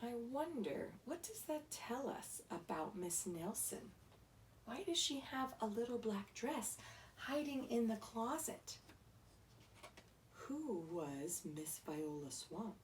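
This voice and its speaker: A woman reading a children's story aloud in an expressive, instructive way, pausing to ask the listener questions. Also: fairly high pitch, far from the mic, a little hiss or hum. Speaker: story reader, teacher.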